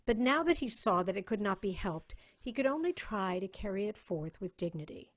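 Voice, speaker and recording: Woman narrating a dramatic story. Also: mid-range voice.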